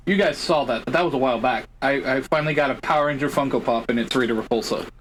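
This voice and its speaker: A man who is a guest on a casual podcast, telling stories lively, laughing often and acting out what other people said. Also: mid-range voice, quick pace, a little hiss or hum. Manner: lively storyteller, laughs often, acts out quoted voices. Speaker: podcast guest, story writer and cosplayer. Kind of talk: casual chat.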